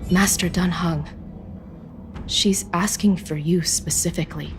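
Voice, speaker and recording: Dutiful game soldier lady, kinda low voice, formal and wary, measured, soft music behind. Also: fairly even intonation. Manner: formal, measured storytelling delivery. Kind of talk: video game voice acting.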